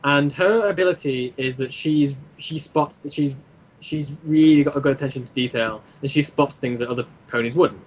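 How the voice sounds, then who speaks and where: A man in a casual podcast chat, explaining his own creative work in a rambling, halting way and repeating phrases as he goes. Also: mid-range voice, rough recording. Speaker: guest, creator of an audio drama series.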